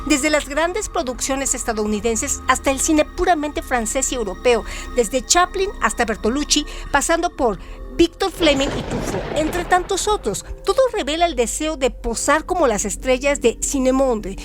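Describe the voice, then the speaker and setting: A woman's voice: high-pitched, expressive, normal speed, music in the background. A woman co-hosting a literary radio show, reading scripted cultural trivia and analysis in an articulate, explanatory way.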